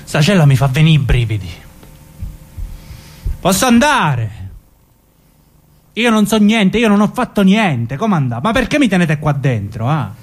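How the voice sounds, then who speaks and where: A jailed southern Italian mobster, proud and hot-headed. His voice sits fairly high and moves restlessly up and down, agitated, in theatrical drama dialogue. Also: sing-song, unhurried, long pauses, breathy edge, exaggerated voice. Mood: agitated, pleading.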